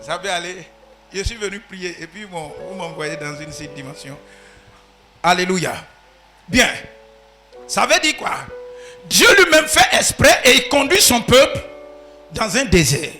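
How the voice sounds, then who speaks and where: A man preaching a sermon as pastor, swinging from shouted peaks to quiet asides. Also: high-pitched, lively intonation, unhurried, long pauses, faint music behind.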